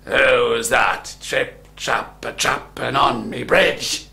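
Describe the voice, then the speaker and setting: A man voicing a greedy, terrible bridge troll in a storybook tale, slow and menacing, with drawn-out questions. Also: medium pitch, fairly even intonation, gravelly, pushed and bright, heavily acted.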